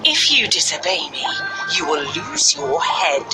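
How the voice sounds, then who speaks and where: A woman's voice: low voice, sing-song, unhurried, over music. A woman playing a cruel wicked queen in a children's fairy tale, haughty and commanding. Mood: threatening. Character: cruel, imperious wicked queen giving threats.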